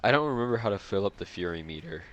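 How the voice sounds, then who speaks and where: Male game streamer, deep voice, audibly congested, casual and slangy, mutters phrases over again while playing. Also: animated, rapid-fire, a bit bright, dull audio, soft background music. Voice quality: congested, stuffy nose. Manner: slangy, repeats phrases to himself. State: nasally congested, breathing through mouth. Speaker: gaming video host. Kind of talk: casual live gameplay commentary.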